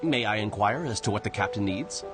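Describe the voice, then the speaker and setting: Game role: polite male guard on watch , man acting, animated intonation, formal, music behind. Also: lowish voice, brisk, lightly acted. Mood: polite, helpful. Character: courteous, dutiful male watch officer. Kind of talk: video game dialogue acting. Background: game background music.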